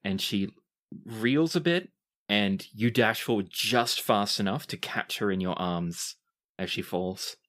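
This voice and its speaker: A game master narrating a tabletop roleplaying game in descriptive, dramatic phrases with deliberate timing. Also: sits low, fairly bright tone.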